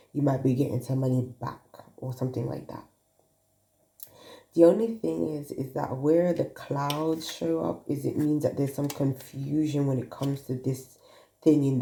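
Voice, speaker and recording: A woman with a sniffly cold talks through a card reading, thinking aloud as she goes. Her voice sits low and gravelly with a dark, mellow tone. The phone recording carries the echo of a roomy space. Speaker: tarot card reader. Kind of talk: casual card reading to viewers. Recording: echoey room.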